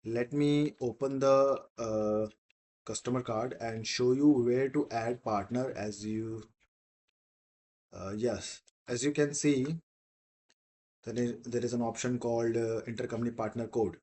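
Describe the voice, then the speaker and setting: A man teaching in a software demo, explaining step by step in a halting, repetitive way. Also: mid-range voice, steady tone. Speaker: software instructor.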